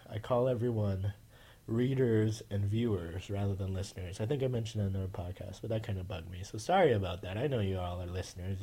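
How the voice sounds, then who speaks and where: A man's voice: fairly low pitch, a little mellow, husky. A man hosting a casual podcast, with a nasal voice, rambling with many fillers and restarts. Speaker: podcast host.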